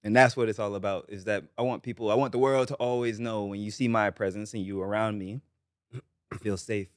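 A man's voice: lowish voice, steady tone, a little fast, no echo, studio quality. A man from Barbados, guest on a podcast, chatting casually and easygoing, often adding "you know what I'm saying.". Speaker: guest musician.

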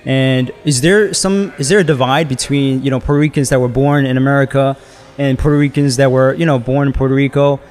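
A man's voice: medium pitch, steady tone, fairly quick, darkish tone. A man interviewing in a casual conversation, asking questions with frequent restarts and fillers.

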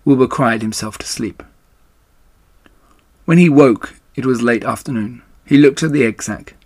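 A man narrates a children's book in measured, expressive phrases. His voice is gravelly and husky, recorded dry and close. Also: mid-range voice, dry recording. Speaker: storyteller reading aloud.

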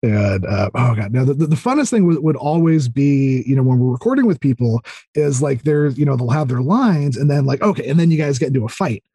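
A man's voice: medium pitch, expressive, fairly quick, dark tone, husky, no echo. A man chatting casually as a podcast guest, enthusiastic and rambling, often repeating words and starting over. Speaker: podcast guest, audio drama creator. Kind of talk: casual interview chat.

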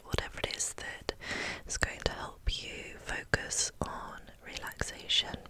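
A woman gently guiding a relaxation as an ASMR host, in a breathy, soothing whisper. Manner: gentle, soothing, unhurried instruction.

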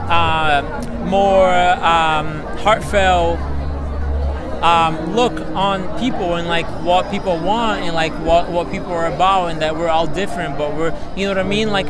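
A young man from Brazil, chatting casually as an interview guest, rambling earnestly and leaning heavily on "like". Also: high voice. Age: young adult. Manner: rambling, heavy on "like" fillers, earnest. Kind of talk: casual chat. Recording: loud music and crowd behind.

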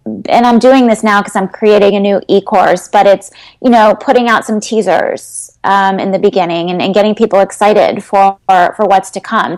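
Woman guest, mid-pitched, earnest and hesitant, coaching talk. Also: darkish tone. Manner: earnest, rambling, many ums and restarts. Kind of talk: casual interview answers.

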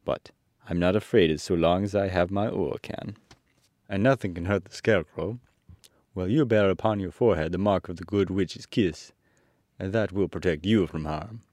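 A man voicing a calm, reassuring tin man in a storybook reading, close to his own low voice. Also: normal speed, no echo, lightly acted.

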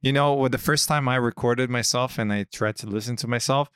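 Man, podcast host, smooth clear voice, halting, dry close mic. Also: mid-range voice, fairly even intonation, a bit bright, dry recording. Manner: halting, searches for words, many restarts. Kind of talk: casual podcast chat. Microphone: studio podcast mic.

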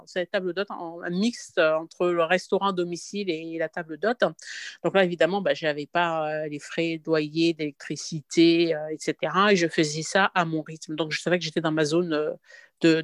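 A woman in her fifties from Morocco, a podcast interview guest who talks in a halting way with frequent restarts. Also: fairly low pitch, no room sound, clean studio sound. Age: 50s. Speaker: interview guest, tea room owner.

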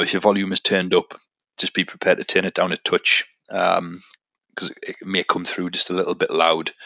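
A man's voice: low voice, bright, forceful tone, no room sound, lo-fi sound. A man hosting a solo podcast, explaining things informally and talking directly to the listener. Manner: conversational, addresses the listener directly. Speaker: podcast host.

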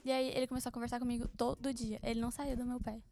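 A woman's voice: on the high side, racing. A young woman, co-hosting a casual couple podcast and telling stories with teasing laughs. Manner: laughing, teasing, lively storytelling. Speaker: podcast co-host.

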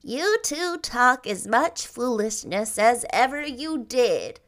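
A woman's voice: fairly high pitch, sing-song, takes it slowly, a bit bright, voice pushed up, full character voice. A woman voicing an older grandmother who speaks seriously, half indulgent and half reproving.

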